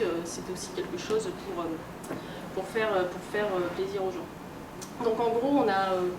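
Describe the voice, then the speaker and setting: A woman giving an informal conference lecture, explaining in a colloquial, halting way with frequent hesitations and repeated words. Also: medium pitch. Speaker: guest speaker, researcher and game publisher. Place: room at a convention, recorded from afar. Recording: distant roomy mic, loud background noise. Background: loud ambient noise.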